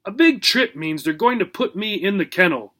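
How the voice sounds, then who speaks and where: Man playing a gruff, grumbling old dog. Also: high-pitched, animated, normal speed, sharp tone.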